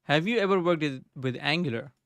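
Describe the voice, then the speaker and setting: A man's voice: on the high side, brisk, a bit bright, dry recording. A young man streaming live from his home desk, thinking aloud as he codes and greeting viewers in the chat. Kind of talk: casual live coding chat. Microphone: desk microphone.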